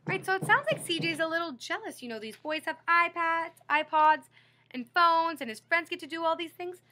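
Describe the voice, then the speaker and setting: A woman's voice: very high-pitched, lively intonation, moderate pace, sharp tone, a little hiss or hum. A woman reading a picture book aloud to children like a teacher, explaining and prompting young listeners with questions. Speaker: read-aloud storyteller and teacher.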